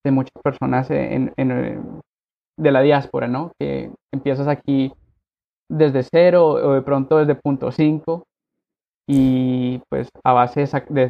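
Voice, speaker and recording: A man from Colombia, raised abroad, telling his life story as a podcast guest in a relaxed way with many fillers. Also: mid-range voice, vocal fry, dull audio. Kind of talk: conversational interview.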